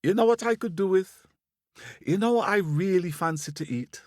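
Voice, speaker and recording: A man's voice: high voice, moderate pace, no echo, studio quality, pitched above the performer's own voice, natural voice. A man voicing a tiny, bold male squirrel in a folktale, full of theatrical bravado. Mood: boastful craving. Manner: theatrical bravado, exclaiming and pleading.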